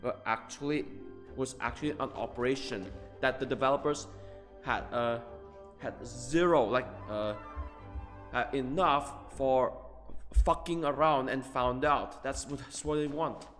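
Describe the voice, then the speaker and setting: A man's voice: mid-range voice, unhurried, fairly bright tone. A man giving casual live game commentary as a streamer, rambling, repeating himself emphatically and swearing offhand. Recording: reverberant room, game music behind.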